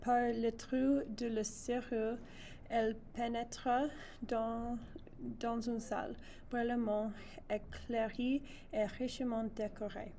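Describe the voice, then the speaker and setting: Slow-reading young woman, slightly high voice. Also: little pitch movement, warm tone. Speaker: student reader. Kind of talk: read-aloud children's storybook narration. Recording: noticeable background noise.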